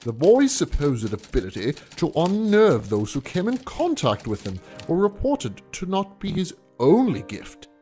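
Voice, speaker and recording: A man's voice: a little high, wildly swooping pitch, measured pace, hardly any pauses, mellow. A man playing a bookish academic researcher in an audio story, narrating his findings on a legend in formal, scholarly lecture style. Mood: measured, scholarly. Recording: music under the voice.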